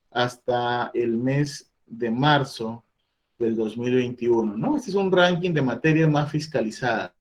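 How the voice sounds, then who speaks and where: A middle-aged man giving a training talk on labor inspections, explaining step by step and voicing the questions an employer might ask. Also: on the high side. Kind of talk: online training presentation.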